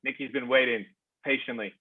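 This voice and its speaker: Husky male host on a tinny line. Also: medium pitch, fairly even intonation, a little fast. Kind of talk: casual video-call chat. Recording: phone-like video call audio.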